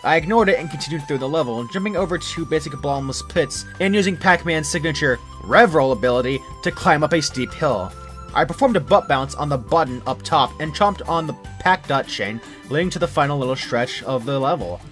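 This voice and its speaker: A man's voice: a little high, expressive, brisk, fairly bright tone. A man reading a scripted first-person creepypasta story as its narrator. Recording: music under the narration.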